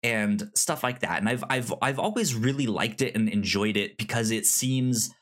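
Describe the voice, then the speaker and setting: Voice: medium pitch, normal speed. A podcast co-host casually discussing film, often stammering and repeating words and sounds.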